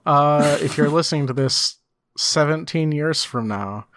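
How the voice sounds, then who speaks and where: A man co-hosting a podcast in casual, unscripted banter, with hesitant, halting phrasing. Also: fairly high pitch, a bit bright, no echo. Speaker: podcast co-host.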